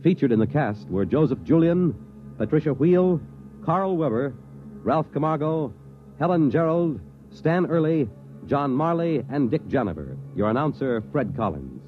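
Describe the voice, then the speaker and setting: A man's voice: a little high, very animated, moderate pace. An American man announcing on 1950s network radio in a formal, declamatory manner. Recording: dull vintage radio recording, faint music.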